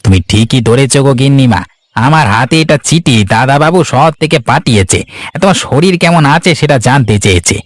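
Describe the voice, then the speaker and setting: A man plays an obedient household servant in a village cartoon. His mid-pitched voice is deferential and familiar, explaining things plainly, with a dry, echo-free sound. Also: lively intonation, a little fast, natural voice. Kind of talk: cartoon story dialogue.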